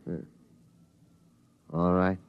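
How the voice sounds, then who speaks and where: Calm father, patient and low, old radio play, lo-fi sound. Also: very slow, clear, resonant tone. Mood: steady. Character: calm, steady father of a family. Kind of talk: 1950s radio drama acting. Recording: muffled 1950s radio broadcast.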